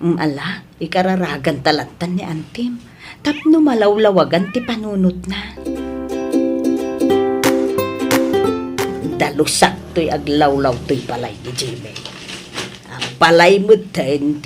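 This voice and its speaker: Slow-talking, emotional elderly Filipino mother. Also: lowish voice, a little mellow. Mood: worried. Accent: Filipino, Ilocano. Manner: drawn-out, emphatic, emotional delivery. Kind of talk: melodramatic radio drama acting.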